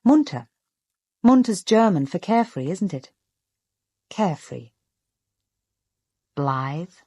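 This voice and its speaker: An actress playing a perceptive, composed British woman amateur detective in a radio drama, probing in a measured, deliberate way. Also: lowish voice, very animated, mellow, slightly rough, no echo, studio quality, exaggerated voice. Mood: quietly probing.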